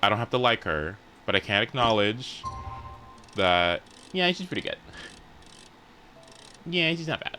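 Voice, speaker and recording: Low-pitched, bright-voiced young man streaming. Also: very animated, measured pace, long pauses, soft background music. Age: young adult. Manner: informal, sweary, rambling. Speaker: live streamer. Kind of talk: casual livestream chat while playing a game.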